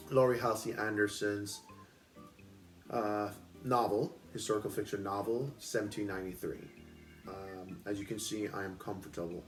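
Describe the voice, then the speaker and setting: Low-voiced man reading a story aloud. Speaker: book club host reading aloud. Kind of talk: expressive storybook narration. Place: at home.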